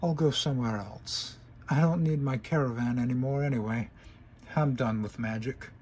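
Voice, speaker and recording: A man's voice: medium pitch, moderate pace, darkish tone, husky, close to an everyday voice. A man voicing a proud, despairing young female pony magician who speaks of herself in the third person. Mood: distraught.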